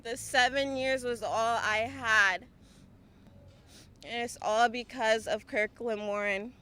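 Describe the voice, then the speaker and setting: A woman giving a victim impact statement as a grieving family member, speaking slowly in grief. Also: a little high, steady tone, bright, forceful tone, smooth. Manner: slow, grieving delivery.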